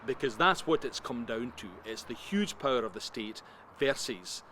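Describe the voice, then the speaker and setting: A man's voice: medium pitch, expressive, normal speed, pushed and bright. A Scottish man giving an earnest interview outdoors, stacking points into emphatic lists. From Scotland. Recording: street noise behind.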